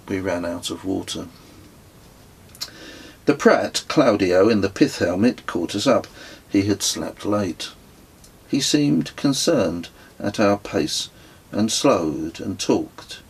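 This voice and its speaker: A man reading his own travel memoir as an audiobook, in a measured, reflective and wry storytelling manner. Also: sits low, vocal fry, slightly rough. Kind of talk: scripted audiobook narration.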